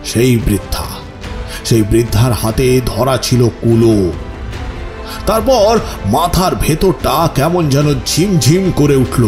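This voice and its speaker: A man's voice: mid-range voice, very animated, music in the background. A man acting as the haunted narrator of a ghost story, telling his own ordeal in dramatic, suspenseful first person. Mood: eerie suspense. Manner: slow, suspenseful, with pleading stammering outbursts in dialogue.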